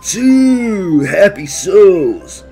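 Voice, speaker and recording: A man's voice: high-pitched, very animated, very slow, creaky, dark tone, husky, faint music behind, voice pushed up, exaggerated voice. A man voicing a big, jolly, theatrical bear from a storybook, exuberant and grandiloquent. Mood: amused, gushing.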